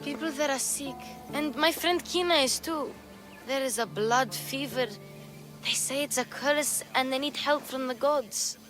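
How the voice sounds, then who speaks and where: A woman's voice: high-pitched, unhurried, music in the background. A woman voicing a plucky young girl, childlike and earnest, in a dramatic game cutscene. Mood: earnest pleading.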